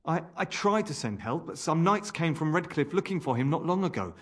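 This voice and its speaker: Nervous, gloomy fantasy scholar's assistant. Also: male voice, fairly high pitch, expressive, fairly quick, a bit bright. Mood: worried, gloomy. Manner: hesitant, stammering, solemn. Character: nervous, evasive male impostor research assistant, gloomy, turning fanatical. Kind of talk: fantasy video game voice acting.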